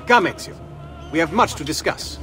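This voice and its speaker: Venetian schemer, animated, theatrical, faint music behind, video game. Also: male voice, a little high, normal speed. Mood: eager, welcoming. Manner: effusive, theatrical. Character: effusive, scheming Venetian ally, theatrical and welcoming.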